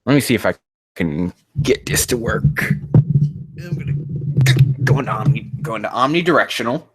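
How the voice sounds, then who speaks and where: A man's voice: mid-range voice, sing-song, normal speed, a bit bright, husky, no echo, low-quality recording. A man casually reading aloud and commenting on a live stream, rambling, swearing and sounding exasperated as he mocks the writing.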